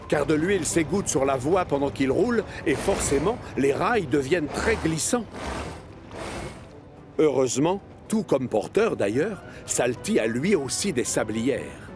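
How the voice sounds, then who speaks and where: A man narrating a scripted children's story as an expressive storyteller. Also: fairly high pitch, a little slow. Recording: music behind narration. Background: music.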